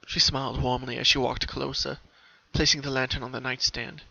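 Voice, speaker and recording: A man's voice: medium pitch, level tone, a little fast, vocal fry, sharp tone. A man reading a story aloud as narrator, in a dramatic style. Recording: dull, muffled home recording.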